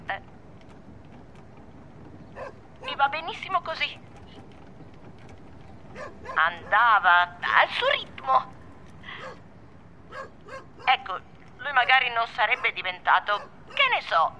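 An actress playing a rattled, defensive woman on a phone call in a radio drama, talking in a halting, repetitive, evasive way. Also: on the high side, pushed and bright, slight background noise, heavily acted. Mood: defensive, hesitant. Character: rattled, defensive woman gossiping about someone close.

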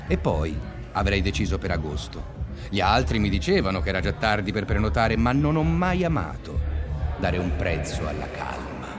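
A man's voice: deep voice, very animated, moderate pace, music in the background. An actor playing an easygoing, aimless man who narrates his summer conversationally in a radio drama. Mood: wry, relaxed. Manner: conversational, musing.

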